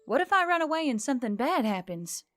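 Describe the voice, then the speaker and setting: A woman's voice: sits high, sing-song, fast, a bit bright, no echo, faint music behind, voice pushed up. A woman voicing a reluctant, frustrated young heroine with a sardonic, informal manner, in an audiobook story. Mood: worried.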